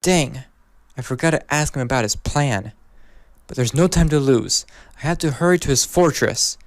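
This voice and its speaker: An actor playing a young, determined hedgehog hero in game cutscene dialogue, earnest and plainspoken. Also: male voice, mid-range voice, moderate pace, lightly acted. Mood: determined, puzzled. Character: young heroic male hedgehog, determined and impatient.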